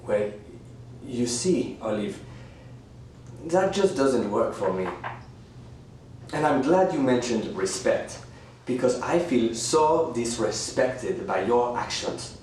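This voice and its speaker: A man playing a smug, manipulative houseguest in a radio thriller, measured, falsely reasonable and condescending. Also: medium pitch, expressive, darkish tone. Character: smug, manipulative male houseguest who refuses to leave. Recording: roomy, distant, faint background noise.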